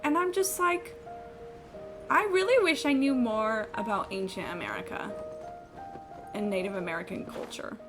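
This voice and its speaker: A woman game streamer with a fairly high voice talks off the cuff as she plays. She is blunt and informal, swearing freely, with faint music underneath. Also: animated, normal speed, pauses often. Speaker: video game live streamer. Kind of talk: casual reacting chat while gaming.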